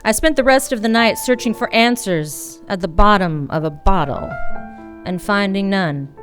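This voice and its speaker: A woman's voice: medium pitch, normal speed, fairly bright tone, faint music behind, close to an everyday voice. A woman playing a brusque, weary female private detective who narrates her case in a noir radio play. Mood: weary narration.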